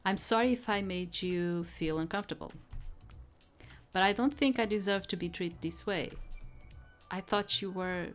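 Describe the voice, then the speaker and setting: A woman's voice: normal speed, pauses often, natural voice. A woman voicing an indignant young female detective in a visual novel, earnest and emphatic, pleading yet firm. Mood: hurt, indignant. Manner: earnest, emphatic, raised pitch. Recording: soft music behind the voice.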